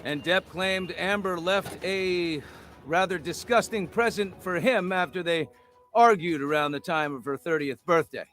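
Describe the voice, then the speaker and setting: Bright-voiced male news reporter. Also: high-pitched, unhurried. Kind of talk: scripted news narration.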